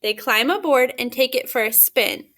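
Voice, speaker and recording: High-voiced woman reading a picture book aloud. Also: expressive, a bit bright. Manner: simple questions with pauses for answers. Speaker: teacher reading aloud to children. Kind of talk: picture-book read-aloud with questions to young children.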